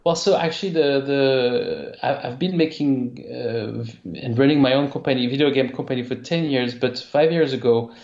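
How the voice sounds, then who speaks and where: A man with a French accent, a game company founder talking in a casual interview, halting with many restarts. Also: medium pitch, darkish tone, roomy sound, muffled recording. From France. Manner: halting, many restarts and fillers, laughs easily. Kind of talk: casual interview over a call.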